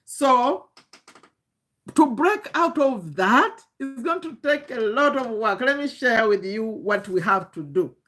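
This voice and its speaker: A woman's voice: fairly high pitch, animated, takes it slowly, a bit bright, soft background music. A woman in her fifties with a Ugandan accent gives an informal motivational talk as a coach, emphatically repeating phrases and asking rhetorical questions. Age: 50s. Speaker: online business coach.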